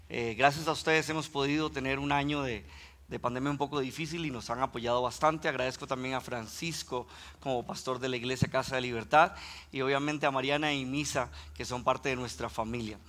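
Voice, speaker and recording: A man's voice: mid-range voice, fairly bright tone. A man from Costa Rica with a Costa Rican Spanish accent, preaching a sermon as guest preacher and reading Scripture aloud, growing more intense as he goes.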